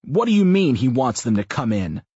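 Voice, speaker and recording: A man's voice: mid-range voice, big pitch swings, normal speed, mellow, husky, dry recording, pitched above the performer's own voice. A man doing an alarmed, questioning young man. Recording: muffled lo-fi audio.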